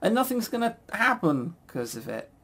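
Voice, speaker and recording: A man's voice: sits high, big pitch swings, normal speed, a bit bright. A man hosting a casual chat about games, with a sarcastic, mock-grumbling manner. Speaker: podcast host. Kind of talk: casual chat reviewing visual novels.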